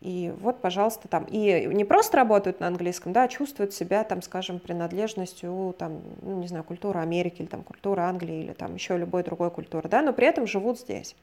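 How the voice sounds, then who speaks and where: Measured woman explaining like a lecturer. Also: on the low side, a little mellow. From Russia. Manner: measured, lecturing, hedges often with "let's say". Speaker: guest expert on migration. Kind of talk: explanatory conversation.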